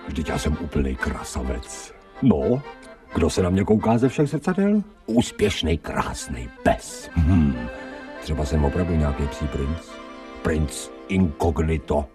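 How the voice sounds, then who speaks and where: Vain street dog thinking out loud, really low soft-edged voice, radio play, bit off mic. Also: male voice, big pitch swings, recorded from a distance, music in the background. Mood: smug, adoring. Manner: colloquial, musing, self-dramatizing. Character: stray male dog, fawning, vain, self-pitying, street-wise. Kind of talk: radio drama inner monologue.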